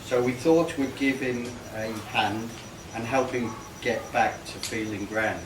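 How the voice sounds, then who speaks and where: Slow-paced man reading in echoey room. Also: medium pitch, steady tone. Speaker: party guest reading a tribute poem. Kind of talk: reading a comic rhyming poem aloud. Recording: echoey, noisy room, distant microphone.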